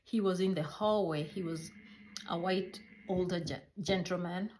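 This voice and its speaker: A middle-aged Kenyan woman with a fairly low voice, the kind you would hear recounting her life slowly across a kitchen table, pausing to find words in her second language. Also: fairly even intonation, darkish tone, slight background noise, low-quality recording. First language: a Kenyan mother tongue. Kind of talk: personal storytelling.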